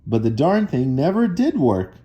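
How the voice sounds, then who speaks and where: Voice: mid-range voice, big pitch swings, moderate pace, warm tone, highs cut off, natural voice. A storybook reader voicing a little old man, overworked and hungry, in a plaintive, exclaiming way. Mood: weary, emphatic.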